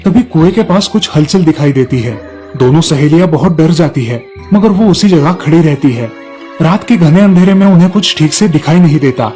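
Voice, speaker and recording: Casting: male narrator for children's moral tales, fairly high voice, music bed. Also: mellow. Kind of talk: scripted children's story narration.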